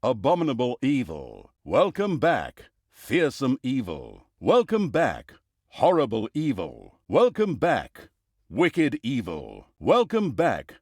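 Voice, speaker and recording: A man's voice: medium pitch, huge pitch swings, takes it slowly, a little mellow, no room sound, studio quality, heavily acted. A man playing a grandiose, tongue-in-cheek narrator in a fantasy video game, with mock-solemn, theatrical delivery. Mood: grand welcoming.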